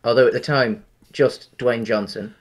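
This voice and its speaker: A man's voice: medium pitch, steady tone, normal speed. A man in his thirties, co-hosting a casual film-review chat from home over a video call, easygoing and rambling with many ums. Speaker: podcast co-host. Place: home room.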